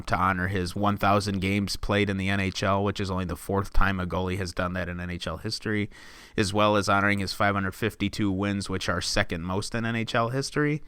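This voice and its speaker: A young man with a low, smooth, resonant voice co-hosts a casual podcast. He talks steadily and at length, with filler words here and there. The recording is dry and close, with no room sound. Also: bright, forceful tone, no echo. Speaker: podcast co-host. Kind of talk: casual chat.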